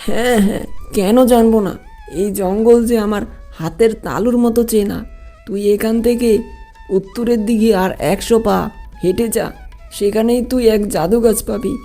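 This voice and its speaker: Woman playing a mellow, pleading old villager man. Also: on the high side, fairly even intonation, normal speed, soft background music, close to an everyday voice. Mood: helpful. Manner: pleading, repetitive, folksy.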